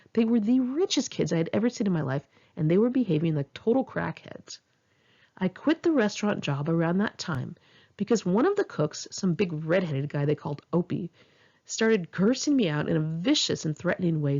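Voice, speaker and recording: A woman with a fairly low voice reads her memoir aloud in an informal audiobook style. She is candid and wry, slipping into chatty, self-mocking asides. The recording is somewhat muffled and lo-fi. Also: creaky, darkish tone. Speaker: author reading own memoir. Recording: dull, muffled lo-fi home recording.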